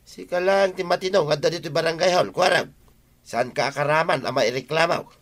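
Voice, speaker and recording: A man's voice: fairly high pitch, a little fast, a bit bright. An actor in a comedy radio drama playing a stubborn, disgruntled older village man who gripes insistently. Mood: grumbling.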